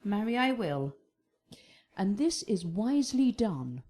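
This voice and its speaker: A woman's voice: medium pitch, sing-song, slow pace, mellow. An actress playing an earthy, pragmatic nurse to a noble girl in a theatrical Shakespearean radio drama. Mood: pragmatic, coaxing.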